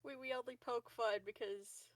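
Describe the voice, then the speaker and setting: A woman's voice: fairly high pitch, steady tone, moderate pace, a loud crowd. A woman chatting casually as a player in a tabletop game. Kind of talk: casual chat.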